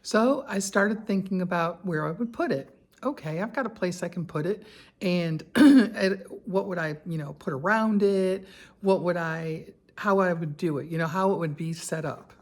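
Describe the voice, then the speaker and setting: A woman, talking candidly in a personal vlog, chatty and quick to laugh, with many asides and fillers. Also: on the low side. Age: 60s. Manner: conversational with frequent fillers and asides. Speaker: lifestyle vlogger.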